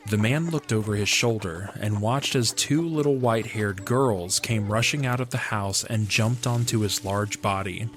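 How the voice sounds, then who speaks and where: Low-voiced male story narrator over music. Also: normal speed, hardly any pauses, fairly bright tone.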